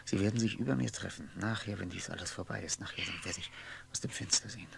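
Lonely brooder, 1960s radio play, deep-set and gravelly, absently repetitive, faint hum. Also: male voice, quick pace. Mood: musing. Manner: musing, repeats himself absently. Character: lonely brooding man lost in his memories. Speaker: radio drama actor. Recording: faintly humming, noisy 1960s radio recording.

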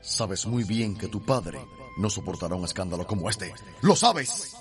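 An actor playing a menacing, domineering gentleman delivering ultimatums in a radio soap opera. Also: deep voice, animated, moderate pace, soft background music. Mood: threatening.

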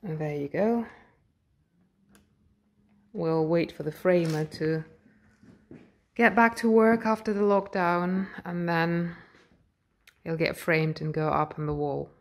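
Unhurried British woman touring her studio. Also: lowish voice. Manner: calm, explanatory, pointing things out. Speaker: portrait artist. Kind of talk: guided studio tour narration. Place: home art studio, indoors.

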